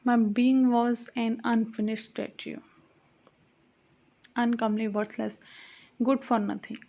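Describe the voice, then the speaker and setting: A woman's voice: on the high side, level tone, takes it slowly, gravelly, mellow, dull audio. A woman reading archaic verse aloud as an audiobook, in a solemn recitation that stops to correct her own slips. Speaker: audiobook reader.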